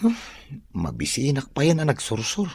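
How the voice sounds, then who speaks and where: A man's voice: mid-range voice, wildly swooping pitch, normal speed, gravelly, a little mellow, slightly rough, heavily acted. An actor playing an easygoing, teasing young male student in an Ilocano radio drama.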